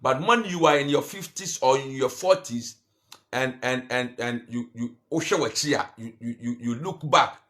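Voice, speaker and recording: A man giving a motivational finance talk, full of restarts and rhetorical questions, and growing louder and higher when he urges his listeners. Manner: rises in pitch and force when urging. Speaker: finance coach.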